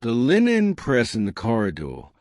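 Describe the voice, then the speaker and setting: Male reader as steady young woman, brisk matter-of-fact delivery, historical storybook dialogue, dry sound. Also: medium pitch, dry recording. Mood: brisk, urgent. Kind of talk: audiobook character dialogue.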